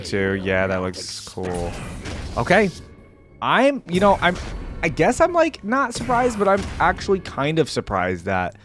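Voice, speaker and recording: A man giving casual, slangy reaction commentary as a video host, thinking aloud as he goes. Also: lowish voice.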